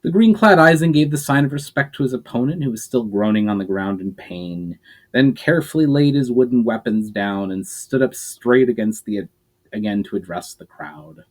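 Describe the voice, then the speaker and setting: A man reading a fantasy novel aloud as a storyteller, expressive, with occasional slips and restarts. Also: mid-range voice, moderate pace.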